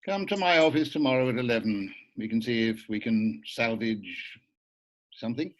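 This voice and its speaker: An actor playing a measured, blunt, businesslike male lawyer in a script read over a video call. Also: mid-range voice, natural voice, low-quality recording. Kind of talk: acted script table read.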